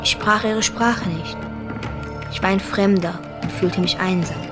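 A woman's voice: mid-range voice, fairly even intonation, unhurried, over music, natural voice. A female performer playing a lonely young Afghan boy, narrating his story reflectively in a radio play.

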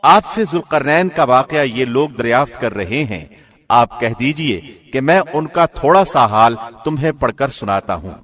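Male presenter type, mid-range voice, measured and formal, scripted reading of sacred text translation. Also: mid-range voice, expressive, a bit bright. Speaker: translation reader, radio presenter. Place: studio.

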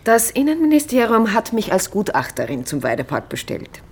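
An actress playing a knowing, unflappable expert on the undead in a radio drama. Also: female voice, medium pitch, very animated, normal speed, husky. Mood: measured. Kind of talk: German radio drama acting.

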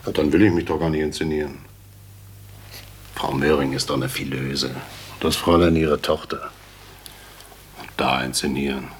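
An easygoing, courteous student lodger in a radio play. His voice is exceptionally deep, and he talks in a measured, dry way with a sceptical, bemused edge. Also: fairly even intonation, slight background noise. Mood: dry, calm. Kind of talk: German radio play acting.

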